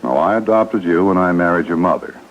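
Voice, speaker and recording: A man's voice: low-pitched, brisk, natural voice. A man playing a stern, unbending middle-aged stepfather who speaks formally, in an old radio drama. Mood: angry. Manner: formal, clipped, authoritative. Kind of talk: 1960s radio drama acting. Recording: dull, noisy vintage radio.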